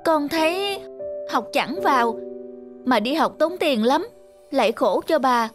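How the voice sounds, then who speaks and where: A proud shy little boy in a cartoon, high voice, music behind. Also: animated, unhurried. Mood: dejected, hesitant. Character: shy, proud, troubled poor schoolboy. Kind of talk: cartoon voice acting.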